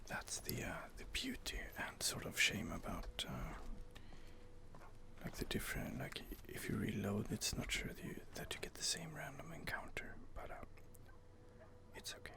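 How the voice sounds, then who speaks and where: A man whispering soft, hesitant commentary while playing a fantasy game, close to the microphone. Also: on the low side, wildly swooping pitch, normal speed, vocal fry. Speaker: gameplay streamer. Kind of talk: whispered ASMR gameplay commentary.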